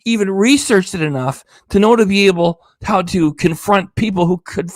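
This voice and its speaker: A man with a somewhat high voice rants sarcastically during a reaction livestream, cursing freely. He sounds tired, and his voice is starting to give out. The recording is dry and close. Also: moderate pace, no room sound. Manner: sarcastic, indignant, swears often. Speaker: reaction channel host. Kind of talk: ranting livestream commentary.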